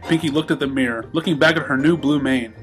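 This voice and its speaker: A man's voice: mid-range voice, fast, a bit bright, faint music behind. A man reading fan fiction aloud as scripted third-person narration. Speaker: story reader.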